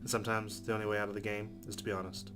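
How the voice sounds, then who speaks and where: Low-voiced man reading game narration aloud. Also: level tone, racing. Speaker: let's play host. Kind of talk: reading visual novel narration aloud with asides. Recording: prominent guitar music behind the voice.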